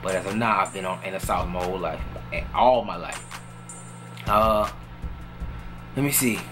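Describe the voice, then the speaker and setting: A relaxed young man, speaking in a fairly low voice. He talks loosely and casually, circling back with "you know what I'm saying," while clear music plays under him. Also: normal speed. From New Orleans, Louisiana. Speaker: vlogger answering viewer questions. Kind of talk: casual Q&A chat.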